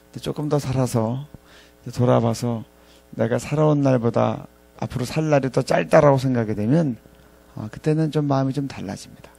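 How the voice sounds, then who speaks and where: A man in his fifties preaching a reflective sermon to ministry staff in a halting way, pausing often and repeating phrases. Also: medium pitch, creaky, dark tone. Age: 50s. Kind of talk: reflective sermon with scripture readings.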